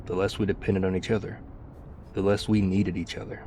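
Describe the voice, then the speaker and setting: A man playing a battle-worn, wistful ex-soldier survivor of a zombie apocalypse, confiding haltingly and reflectively in an intimate ASMR roleplay. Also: low-pitched, little pitch movement, warm tone, a little hiss or hum. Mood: regretful, sad.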